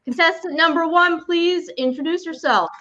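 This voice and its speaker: A woman's voice: very high voice, normal speed, bright, forceful tone. A woman hosting a live online game show in an upbeat, friendly way, over a video call. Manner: upbeat, friendly, laughs easily. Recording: muffled lo-fi call audio.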